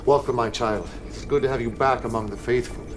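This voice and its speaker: A man's voice: medium pitch, fairly even intonation, music in the background, close to an everyday voice. Grandiose, theatrical cult prophet played by a man. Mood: measured, authoritative. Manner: measured, theatrical, commanding. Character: zealous, grandiose male prophet leading a militant cult. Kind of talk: scripted video game cutscene acting.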